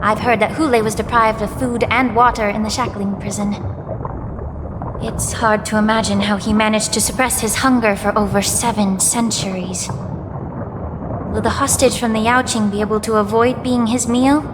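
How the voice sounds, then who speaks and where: A woman's voice: medium pitch, fairly even intonation, normal speed, background noise, natural voice. A woman voicing a composed, learned young woman in a video game cutscene, speaking politely and thoughtfully. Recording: long hall reverb. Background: game soundtrack music.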